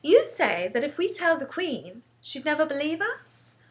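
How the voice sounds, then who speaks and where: Woman playing a high-pitched, impassioned little girl. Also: measured pace. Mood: excited. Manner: excitable, insistent, exclaiming. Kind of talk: storybook character voice.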